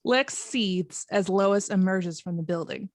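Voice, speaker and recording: A woman's voice: mid-range voice, a little slow, dry recording, studio quality. A woman reading screenplay stage directions aloud as narrator in a scripted table read.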